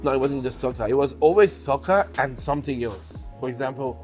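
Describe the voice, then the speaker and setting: A man's voice: mid-range voice, a little fast, darkish tone, soft background music. A young man from Iran, a native Farsi speaker, chatting casually in an interview about his life. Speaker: bodybuilder.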